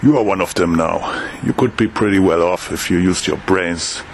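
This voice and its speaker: A shrewd, insinuating customer, acted by a man in a radio play. His voice is deep and gravelly, his pitch persuasive and cool, over faint background ambience. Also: moderate pace, slight background noise. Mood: coolly persuasive. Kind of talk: audio drama dialogue.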